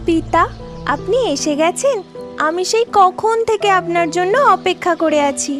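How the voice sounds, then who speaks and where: A woman voicing a gentle young mermaid princess in a cartoon fairy tale, sweet and affectionate. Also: very high-pitched, normal speed. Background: music.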